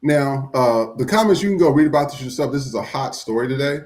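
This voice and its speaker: A man's voice: medium pitch, fast talker, a little mellow. A man hosting a podcast, giving blunt, profane commentary on the news. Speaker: podcast host. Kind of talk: casual commentary.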